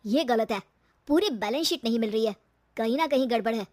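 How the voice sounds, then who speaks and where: A woman's voice: on the high side, lively intonation, fairly quick, a little mellow, dry recording, close to an everyday voice. Woman acting stern, bossy male auditor. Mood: accusing. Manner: clipped, bossy.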